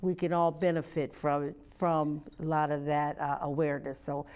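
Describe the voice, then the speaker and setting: Interviewer, female, lowish pitch. Conversational, halting, roundabout delivery. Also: little pitch movement, a little mellow, clean tone.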